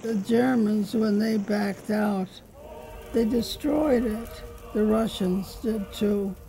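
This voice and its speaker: A woman's voice: medium pitch, takes it slowly, dark tone, slight background noise, rough recording. An older woman telling her wartime memories in a plainspoken, blunt way during a sit-down interview at home. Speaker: interviewee, veteran. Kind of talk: documentary interview recollection. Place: living room with drapes.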